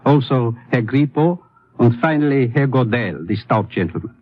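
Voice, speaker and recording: A husky German-accented hotel clerk acted by a man in a vintage radio play. He is formal, chatty and drily fussy. The muffled old recording has some room echo on it. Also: medium pitch, expressive, moderate pace, lightly acted. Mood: chatty, wry. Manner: formal, wry, wordy. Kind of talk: 1950s radio drama acting.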